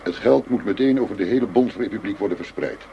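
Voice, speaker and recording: A man's voice: lowish voice, fast talker, highs cut off, close to an everyday voice. An actor playing a shrewd undercover policeman posing as a tough dealer, firm and businesslike, in a radio drama. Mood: hard, businesslike. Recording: noisy radio play recording with ambience.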